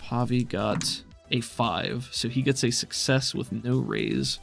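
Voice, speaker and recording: A man's voice: medium pitch, takes it slowly, creaky, a bit bright. Conversational male game master over faint music. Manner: explanatory, conversational. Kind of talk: narrating and refereeing a tabletop RPG.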